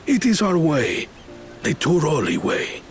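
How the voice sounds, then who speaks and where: A man's voice: on the high side, wildly swooping pitch, unhurried, heavily acted. An actor playing a devoted townsman who pleads earnestly for harmony in a video game cutscene. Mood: pleading. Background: soft game music.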